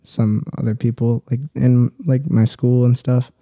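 A young adult man telling personal stories casually as a podcast guest, halting and rambling, with frequent swearing. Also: mid-range voice, flat delivery, warm tone, dry recording.

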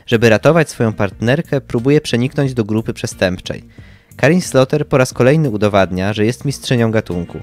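A man's voice: mid-range voice, animated, brisk, a little mellow, soft background music. A young man co-hosting a podcast, giving scripted book recommendations. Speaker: podcast co-host.

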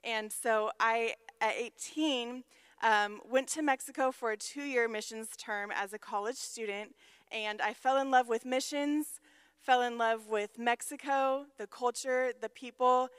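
A woman's voice: fairly high pitch, fairly even intonation, unhurried, a bit bright, clean tone, no echo. A woman in her thirties from Washington State, a missionary telling her story to a church congregation in an earnest way. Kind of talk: church testimony. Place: large church stage.

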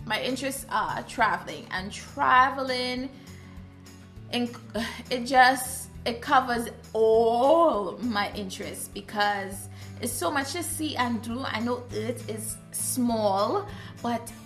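A woman's voice: on the high side, slow pace, faint music behind. A young woman from Trinidad with a Trinidadian accent, talking casually and expressively to the camera in a vlog. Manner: animated, expressive, gestures while talking. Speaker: vlogger.

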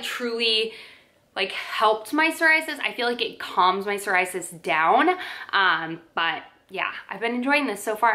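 A young woman with a bright, clear tone talks casually to a close camera in a home bedroom. Her speech is loose and chatty, scattered with fillers like "like" and "um." Her pitch sits in a middle range for a woman. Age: young adult. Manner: chatty, many filler likes and ums. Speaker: lifestyle vlogger. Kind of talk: casual favorites review to camera. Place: bedroom with dresser and plant, indoors.

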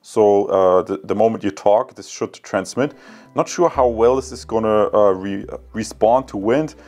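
Low-pitched German man reviewing gear. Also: a little mellow, soft background music. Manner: hesitant, halting, addresses viewers as guys. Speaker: product reviewer. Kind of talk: casual unboxing and review talk to camera.

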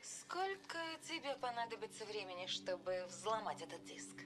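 A woman's voice: medium pitch, very animated, moderate pace, close to an everyday voice. An actress playing an attentive, lightly teasing woman companion in a game audio drama, questioning and light. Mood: curious.

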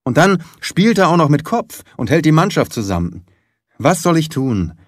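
Audiobook role: frantic, earnest young rugby player, acted by a male reader, raised pitch, dry studio sound. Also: moderate pace, no room sound. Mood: agitated, earnest. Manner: excited, urgent, emphatic.

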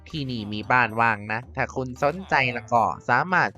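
A woman's voice: a bass voice, a little fast, bright, forceful tone, voice pushed down. A woman doing a chummy male villager. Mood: friendly. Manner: chummy, salesy. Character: friendly male villager offering a rental house. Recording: slightly dull with faint music.

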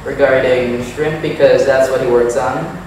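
A man's voice: mid-range voice, steady tone, a little slow, noisy background. A teenage boy with a Thai accent, giving frank, thoughtful answers in an interview. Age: teens. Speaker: interview guest. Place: small indoor room. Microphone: lapel mic. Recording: echoey room, distant sound.

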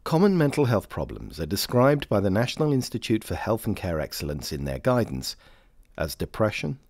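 A man reading scripted informational narration for a training film in a measured, formal manner. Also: fairly low pitch, sing-song, darkish tone, dry recording, studio quality. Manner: measured, formal, clearly phrased.

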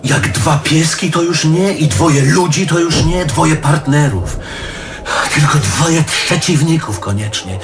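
A man's voice: fairly high pitch, slow, noisy background. An actor playing a bitter, needy middle-aged man in a stage play, arguing emphatically with his lover and catching himself mid-word. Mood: bitter, accusing. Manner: emphatic, self-correcting, swallows curses mid-word.

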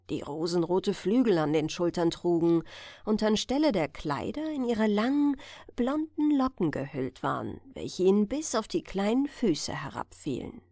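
A woman narrating a fairy tale as a bedtime audiobook, in a measured storytelling manner. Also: mid-range voice, dark tone, dry recording, studio quality.

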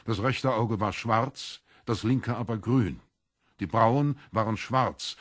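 A man narrating a literary story as a scripted audiobook, with measured, deliberate storytelling. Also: mid-range voice, husky, no echo, clean studio sound.

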